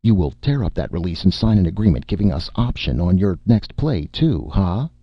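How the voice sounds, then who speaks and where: A man's voice: deep voice, sing-song, moderate pace, vocal fry, breathy edge, no room sound, muffled recording, full character voice. A man voicing a bullying, heavy-set male film director in a story, with stilted phrasing and a threatening, domineering manner.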